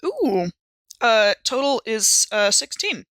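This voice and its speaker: A woman's voice: on the high side, very animated, slow pace, fairly bright tone, slight background noise. A woman chatting casually as a player in a roleplaying game, with informal, tentative phrasing. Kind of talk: casual game-table chat.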